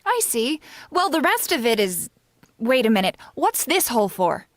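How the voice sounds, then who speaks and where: A woman's voice: fairly high pitch, very animated, normal speed, dry recording. A woman playing a loyal, wry housemaid in a 1940s-style radio comedy, with a dry, knowing, deferential manner. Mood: puzzled, dry. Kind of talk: 1940s radio sitcom acting.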